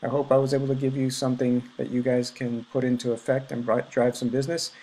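A man working as a web designer and marketer, explaining search rankings in a casual, explanatory way with many filler pauses over a screen recording. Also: mid-range voice, level tone, mellow, poor audio. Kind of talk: screen-share website review.

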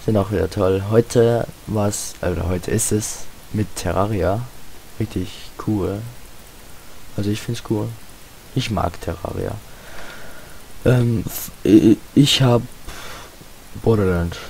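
A fourteen-year-old boy with a slightly hoarse voice, giving casual, rambling, self-deprecating gaming commentary in slangy speech. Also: fairly low pitch, slow, pauses often, poor audio. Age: 14, teenager. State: slightly hoarse, sore throat. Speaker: gaming video host. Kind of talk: casual Let's Play commentary. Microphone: home computer microphone. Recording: hissy home microphone with steady noise.

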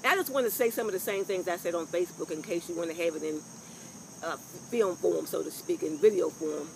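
An older woman with a loud voice, giving frank, rambling workplace advice to a phone camera outdoors, with salty asides. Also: lowish voice, fairly quick, vocal fry, a little hiss or hum, rough recording. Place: outdoors on a lawn by trees. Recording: faint insects and crickets outdoors.

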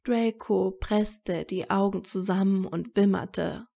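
A woman's voice: medium pitch, fairly even intonation, slow, creaky, warm tone. A woman narrating a scripted fan fiction story as a storyteller.

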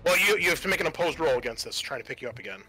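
A man's voice: on the high side, very animated, rapid-fire, pushed and bright, rough recording. A man acting as game master, explaining rules and narrating action casually and a bit hesitantly. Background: music behind the voice.

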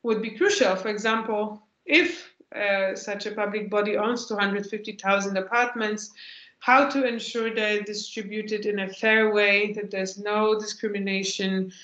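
A woman's voice: medium pitch, fairly even intonation, measured pace, a bit bright. A woman, giving a thoughtful lecture answer on a video call and often restarting her phrases. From Poland. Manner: thoughtful, restarts phrases while searching for words. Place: home room with bookshelves. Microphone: laptop mic on video call. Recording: echoey, dull lo-fi video call.

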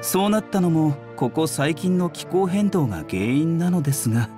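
A man playing a courteous young herbal doctor in a video game, soft-spoken, polite and measured. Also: a little high, lively intonation, music in the background, close to an everyday voice. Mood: explanatory, modest. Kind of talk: Japanese game voice acting.

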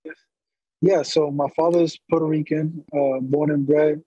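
A man's voice: on the high side, level tone, unhurried, dark tone, breathy edge, no room sound. A young man, a boxer being interviewed, talking casually and repeating himself over earbuds on a video call. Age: young adult. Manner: easygoing, repeats phrases. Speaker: boxer guest. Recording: dull lo-fi call audio.

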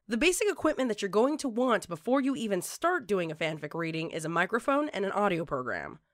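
Woman doing tutorial voiceover, instructional, animated intonation, dry recording no room sound. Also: medium pitch, brisk, no echo. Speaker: fanfiction narrator presenting tips. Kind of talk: scripted tutorial narration.

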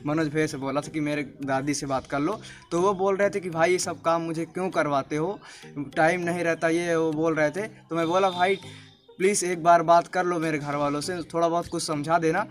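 High-voiced young Indian man vlogging over music. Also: steady tone, normal speed. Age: young adult, late teens. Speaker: video host. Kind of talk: casual vlog talk to camera.

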